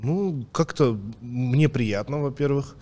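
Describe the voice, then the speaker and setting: A young man hosting a podcast in a studio on a studio mic, making dry teasing remarks that often trail off quietly. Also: mid-range voice, slow, heavy background noise. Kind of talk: casual podcast chat. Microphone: studio mic with headphones.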